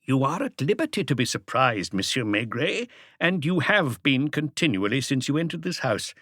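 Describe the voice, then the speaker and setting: A man's voice: mid-range voice, big pitch swings, normal speed, fairly bright tone, no echo, clean studio sound, pitched above the performer's own voice. A man voicing an imperious married society woman in an audiobook, formal, curt and coolly defiant. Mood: haughty.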